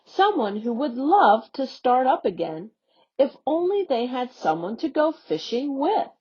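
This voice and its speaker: A woman on an education staff reading a youth newsletter aloud in a slow, expressive storybook manner. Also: high voice, breathy edge. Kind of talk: read-aloud for young learners. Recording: dull lo-fi audio.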